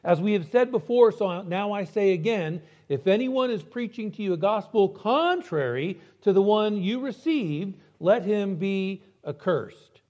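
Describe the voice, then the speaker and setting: A man delivering a church sermon, slowing down to stress key words. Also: sits high, expressive, a little mellow, highs cut off. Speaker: preacher. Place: church building.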